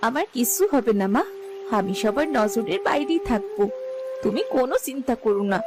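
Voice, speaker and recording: A woman's voice: on the high side, expressive, moderate pace, background noise, natural voice. A woman playing a caring, reassuring young village daughter in a cartoon. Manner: consoling, reassuring. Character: caring grown-up village daughter, consoling and hopeful. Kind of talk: Bengali fairy-tale cartoon dialogue.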